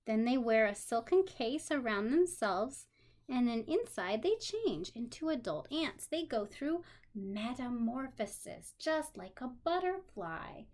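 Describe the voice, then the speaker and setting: Expressive high-voiced woman teacher reading aloud. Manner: expressive, addresses young listeners with questions and simple explanations. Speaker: special education teacher. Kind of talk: read-aloud lesson for young children with explanatory asides.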